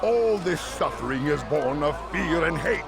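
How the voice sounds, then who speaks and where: An actor playing a decadent, resentful merchant lord in a video game, with grandiose, mock-courteous oratory and a creaky voice. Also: male voice, on the high side, expressive, unhurried, a crowd in the background. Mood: wounded, indignant. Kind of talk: dramatic video game cutscene acting.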